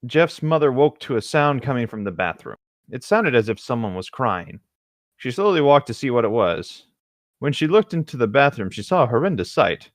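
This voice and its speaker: A man's voice: medium pitch, big pitch swings, moderate pace, dry recording, clean studio sound. A man co-hosting a casual read-along, narrating a story and adding offhand comments. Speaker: co-host reading a story aloud.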